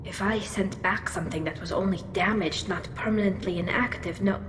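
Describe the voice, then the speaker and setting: Earnest young alien engineer, echoey. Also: female voice, medium pitch, a little fast. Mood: defensive, upset. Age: young adult. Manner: earnest, precise. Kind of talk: video game cutscene acting. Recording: echoey hall, noisy ambience behind.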